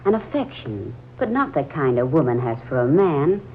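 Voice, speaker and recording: Low-voiced wistful young woman, muffled old radio. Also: sing-song, moderate pace. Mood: wistful, puzzled. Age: young adult. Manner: hesitant, dreamy, earnest. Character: wistful, troubled 23-year-old woman drawn to a stranger. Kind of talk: 1940s radio acting. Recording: muffled, noisy old radio drama recording.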